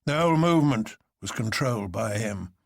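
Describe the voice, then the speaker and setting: Man acting a gravelly, sardonic police inspector. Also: fairly low pitch, animated, normal speed, no room sound, clean studio sound. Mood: bitter, vehement. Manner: emphatic, declaiming. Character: fierce, bitterly sardonic male police inspector.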